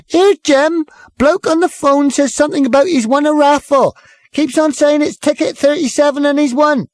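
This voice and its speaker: A man voicing a flustered young barmaid, shouting over a noisy pub. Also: extremely high pitch, normal speed, no room sound, pitched above the performer's own voice. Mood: calling out. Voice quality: loud, shouting over noise. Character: shrill young British barmaid, flustered and harried.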